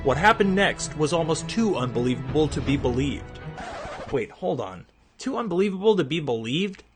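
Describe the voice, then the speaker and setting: A man's voice: fairly high pitch, big pitch swings, normal speed. A man narrating a scripted comic promo as a mock-dramatic announcer. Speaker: trailer announcer. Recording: music behind the voice.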